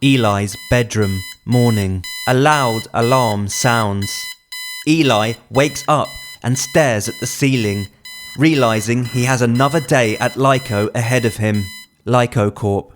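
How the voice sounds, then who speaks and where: A man's voice: medium pitch, wildly swooping pitch, a little slow, soft background music. A man reading screenplay directions as a scripted narration. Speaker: writer reading own screenplay.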